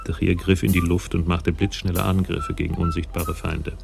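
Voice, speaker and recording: An actor narrating a scripted detective story in a radio play. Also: male voice, sits low, level tone, moderate pace, gravelly. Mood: measured storytelling. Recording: music behind the voice.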